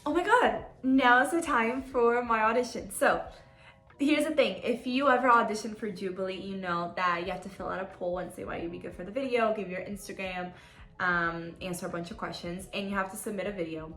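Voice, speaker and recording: A woman's voice: mid-range voice, brisk, a bit bright, roomy sound, faint music behind. A young woman from Brazil, Portuguese her first language, chatting casually to camera and telling a story with lots of "like". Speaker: vlogger.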